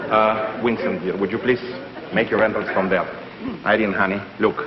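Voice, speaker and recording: A man's voice: fairly low pitch, steady tone, moderate pace, natural voice. A man in a 1950s comedy sketch playing a fussy, exasperated film director, on a muffled old broadcast. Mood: coaxing. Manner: fussy, long-suffering. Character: fussy, exasperated male film director coaxing his stars. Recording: muffled old noisy broadcast, roomy studio audience.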